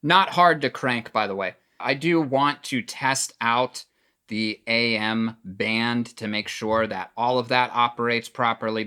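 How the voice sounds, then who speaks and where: A man's voice: mid-range voice, sharp tone, clean tone. Male product reviewer, middle register, casual demo delivery, plain and explanatory.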